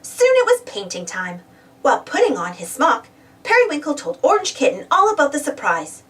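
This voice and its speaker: Very animated, high-voiced woman reading a storybook. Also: normal speed, recorded from a distance. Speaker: storybook reader. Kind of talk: animated children's read-aloud narration.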